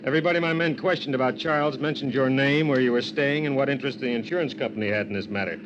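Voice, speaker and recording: An actor playing a brash, cynical American police sergeant in a 1950s radio drama, breezy and sardonic with punchy phrasing. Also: male voice, medium pitch, fast, close to an everyday voice. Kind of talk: 1950s radio detective acting. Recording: dull, noisy vintage radio recording.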